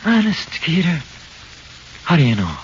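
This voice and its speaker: An actor playing a frightened, slangy condemned convict with an American accent in a 1940s radio horror play, halting and pleading. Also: male voice, fairly high pitch. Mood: anxious. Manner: slangy, halting, swinging from flat confession to anxious pleading. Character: frightened condemned convict awaiting execution, pleading, uneducated tough. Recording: muffled, hissy 1940s radio recording.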